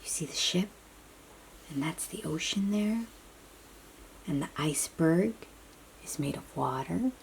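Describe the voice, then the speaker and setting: A woman with a soft, breathy voice reads a picture book aloud to young children, gently explaining and chatting to them as she goes. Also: sits low, lively intonation, slight background noise, low-quality recording. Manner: slow, child-directed, encouraging questions and affirmations. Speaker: read-aloud storybook host.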